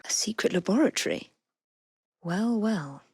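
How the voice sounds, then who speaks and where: A young woman playing a determined, witty Victorian lady assassin in a video game, with an English accent and crisp, formal phrasing. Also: medium pitch, lively intonation, a little slow, darkish tone, no echo. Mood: focused. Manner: crisp, formal period phrasing, dry wit. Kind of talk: video game character voice lines.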